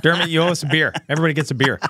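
A man's voice: fairly high pitch, racing, pushed and bright. A man in his fifties chatting casually as a podcast host. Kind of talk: casual chat. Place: small podcast studio. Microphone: close podcast microphone on a stand.

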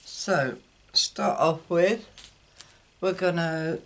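An older woman giving an unscripted card-making demonstration, haltingly thinking aloud as she works at a table indoors. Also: on the low side. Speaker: craft tutorial host. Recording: clear background noise, clicks.

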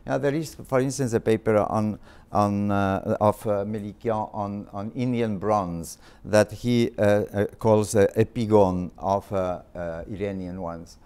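A middle-aged man with a French accent delivers an academic lecture in a somewhat low, clear and resonant voice. He speaks haltingly, with frequent fillers and restarted words. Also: mellow. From Spain. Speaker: visiting art history professor. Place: lecture hall.

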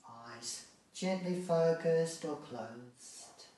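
A man guiding a relaxation practice as a yoga instructor, speaking calmly and soothingly in short phrases with long pauses. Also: on the high side. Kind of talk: guided meditation, calm step-by-step instruction. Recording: very echoey room, distant mic.

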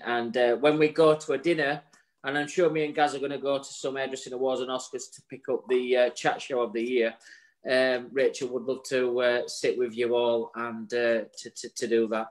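A man, co-hosting a casual chat show over a video call, jokey and chatty, with the odd swear word. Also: mid-range voice. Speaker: co-host. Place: home room with virtual bar backdrop. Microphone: video call webcam.

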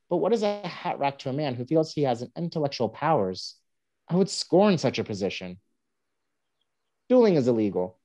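A wry young newspaperman played by a woman spins a tall tale in a staged play reading. The voice is very low and soft-edged, swinging up and down with dry, self-mocking humour. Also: lo-fi sound. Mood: wry, mock-rueful. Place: home room on a group video call.

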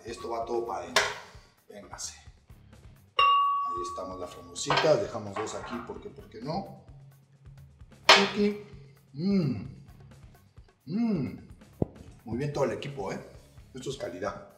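A man's voice: medium pitch, wildly swooping pitch, a little slow, pauses often, echoey room, over loud music. A young man presenting a recipe in a casual, playful cooking segment, talking straight to his viewers. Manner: upbeat, playful, addressing viewers directly.

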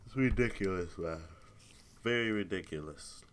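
Young guy gaming on a headset, real low voice, stuffed-up nose, bit of hiss. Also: normal speed. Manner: laid-back, mutters to himself, swears often. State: congested with a cold and sore throat. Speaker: gaming streamer. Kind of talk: casual live gameplay commentary. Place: home room at a computer.

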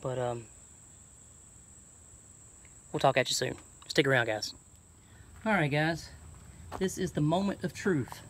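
A man's voice: fairly high pitch, animated, unhurried, pauses often, slight background noise. A middle-aged man with a Southern American accent, chatting casually and folksily as he thinks aloud through a DIY job for his vlog. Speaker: truck camping vlogger. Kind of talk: casual DIY chat to camera. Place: outdoors and inside a truck bed by an open garage. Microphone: handheld phone at arm's length.

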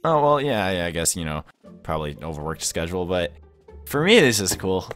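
A man playing an excitable, awkward young man in an improvised video game roleplay, stammering and giddy. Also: low voice, a little fast, soft background music. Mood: awkward enthusiasm.

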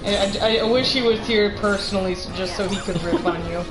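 A woman's voice: medium pitch, brisk. A woman co-hosting a game livestream, bantering casually in a teasing, jokey way. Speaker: game stream co-host. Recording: roomy echo, game music behind.

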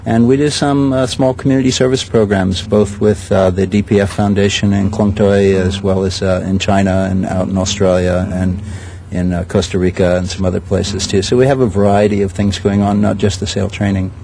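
An older man with a low, fairly flat voice speaks in a measured, unhurried way and pauses often to search for words. He answers an interviewer's questions outdoors. Faint background noise sits under his voice. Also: gravelly. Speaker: sailing school director. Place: outdoors at a regatta.

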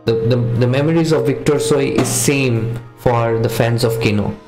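A man's voice: mid-range voice, normal speed, echoey room, poor audio. A man in his thirties hosting a music reaction video, chatting casually and earnestly to viewers as a non-native English speaker. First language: not English or Hindi, another mother tongue. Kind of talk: casual chat to camera. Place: small home studio room with posters. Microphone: studio condenser microphone on boom arm.

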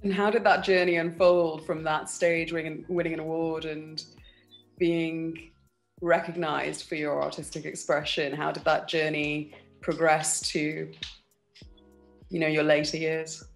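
A woman's voice: low-pitched, flat delivery, unhurried, gravelly, soft background music, poor audio. A young adult woman interviewing a guest over a video call from her home, asking long, winding questions. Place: home room. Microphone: video call webcam.

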